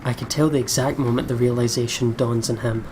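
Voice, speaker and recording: A man's voice: mid-range voice, fairly even intonation, a little fast, background noise. A man playing a visual novel, reading it aloud dramatically and chatting casually in between. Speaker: let's play YouTuber reading a visual novel. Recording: music behind the voice. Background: game music.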